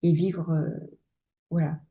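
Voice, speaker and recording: A woman's voice: low voice, level tone, slow pace, phone-quality sound. A woman lawyer and author, a guest in an interview, talking in a hesitant, restarting way full of fillers. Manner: hesitant, many restarts and fillers, laughs often. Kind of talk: casual interview conversation.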